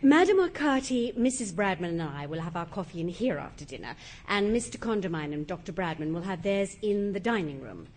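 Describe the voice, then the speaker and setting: A woman's voice: fairly low pitch, very animated, fairly quick. An actress playing a poised, exacting upper-class English lady of the house in a drawing-room comedy, arch and precise. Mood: brisk, patronising. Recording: live theatre recording with faint noise.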